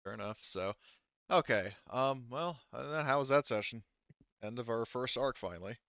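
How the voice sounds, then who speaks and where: A man's voice: mid-range voice, expressive, normal speed, gravelly, a bit bright, clean tone, no room sound. A man chatting casually as a game master, informal and given to swearing, on dull lo-fi audio. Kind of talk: casual chat after a tabletop game.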